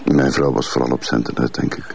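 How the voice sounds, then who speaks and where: Very deep, gravelly Flemish actor as a defensive father. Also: little pitch movement, darkish tone, a little hiss or hum, full character voice. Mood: defensive, curt. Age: middle-aged. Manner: halting, repetitive denials. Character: defensive, haughty bailiff father accused of abusing his daughter.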